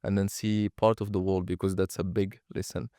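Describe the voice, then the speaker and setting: A man's voice: low-pitched, level tone, fairly quick, warm tone, no room sound, clean studio sound. A man from Morocco hosting an interview, chatting supportively and giving brief affirmations. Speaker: podcast host. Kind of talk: conversational interview.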